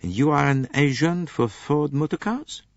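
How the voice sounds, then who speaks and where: A man voicing a fussy, shrewd middle-aged male detective who speaks in a crisp, dry, precise way, on a dull lo-fi tape. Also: fairly high pitch, normal speed, no echo, voice pushed up, natural voice. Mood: probing.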